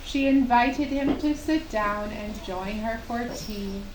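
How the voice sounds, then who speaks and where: Slow, echoey woman storyteller. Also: a little high. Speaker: puppet show storyteller. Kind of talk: gentle scripted children's storytelling. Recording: echoey, distant mic, faint hiss.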